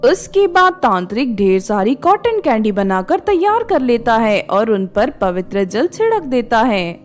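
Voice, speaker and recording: High-pitched woman narrating a story. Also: sing-song, a little slow, faint music behind. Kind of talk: scripted story narration for children.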